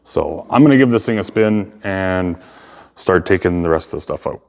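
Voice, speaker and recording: A man's voice: low-pitched, expressive, normal speed, creaky, darkish tone, a little hiss or hum. A man giving a casual hands-on explainer as a hobbyist host, informally thinking aloud in an echoey room. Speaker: hobbyist video host and speaker restorer. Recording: echoey room with long reverb.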